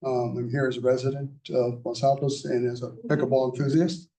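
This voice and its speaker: An older man, soft-spoken and halting with many fillers, giving a public comment at a city meeting. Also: medium pitch, little pitch movement. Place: council chamber. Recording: echoey chamber, mic at a distance.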